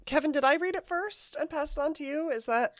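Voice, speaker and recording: A woman's voice: high voice, a little fast. A woman chatting casually as a podcast guest, in a wry, self-mocking way. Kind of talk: casual chat.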